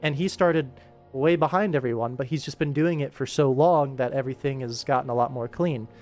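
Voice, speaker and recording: A man's voice: medium pitch, a little fast, a little mellow. A man talks casually through his work on a livestream, rambling and muttering to himself as he thinks aloud. Game soundtrack music plays behind his voice.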